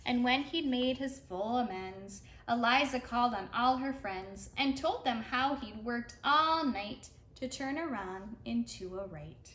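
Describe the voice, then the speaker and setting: A woman's voice: on the high side, measured pace, few pauses, fairly bright tone. A woman reading a rhyming picture book aloud expressively for preschool children. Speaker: read-aloud storyteller for preschoolers. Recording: roomy sound with faint background noise.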